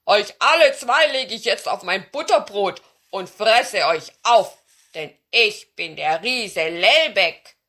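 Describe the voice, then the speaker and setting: A woman's voice: mid-range voice, lively intonation, slow, heavily acted. A woman voicing a huge, greedy male giant who bellows boastfully in a children's story. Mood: menacing. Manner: loud bellowing.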